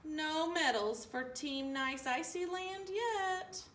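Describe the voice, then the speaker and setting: Roomy, high-pitched woman reading a storybook. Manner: animated, deliberate, singsong delivery. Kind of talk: expressive children's storybook read-aloud. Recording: roomy, distant mic, faint room noise.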